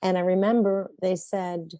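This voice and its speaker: A woman's voice: fairly low pitch, steady tone, moderate pace, dark tone, no echo, clean studio sound. A woman playwright chatting in an interview, telling wry, self-mocking stories from her life. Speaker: playwright guest. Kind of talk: conversational interview.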